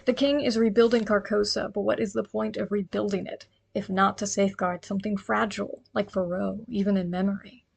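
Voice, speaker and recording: Female listener contributor, creaky medium-pitched voice, earnest prepared commentary with enthusiasm. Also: little pitch movement, brisk, few pauses. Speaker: listener sending a voice message.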